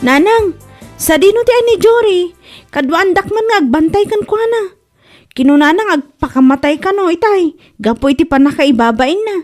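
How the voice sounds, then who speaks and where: A woman's voice: very high-pitched, lively intonation, moderate pace, darkish tone, faint music behind. An Ilocano-accented woman playing a worried, caring older sister in a comedy drama. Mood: anxious.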